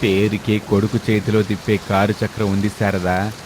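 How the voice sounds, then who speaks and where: Man acting a low, rueful old father. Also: little pitch movement, moderate pace, a little mellow, a little hiss or hum, lightly acted. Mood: resigned. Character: resigned, kindly old village father.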